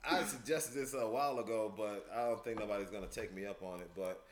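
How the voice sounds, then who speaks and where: A man, a stand-up comedian, chatting on a podcast as a guest and telling stories in an animated, loud way, acting out the scenes. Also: fairly low pitch, fast, reverberant. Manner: animated, loud storyteller who acts out scenes. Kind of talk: casual podcast chat and storytelling.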